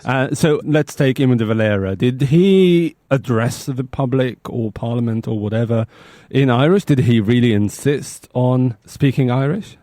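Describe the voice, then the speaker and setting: A man's voice: mid-range voice, normal speed, warm tone, no echo, clean studio sound. A man hosting a podcast, probing his guest with questions and often restarting with repeated words. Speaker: podcast host. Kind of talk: interviewing a guest.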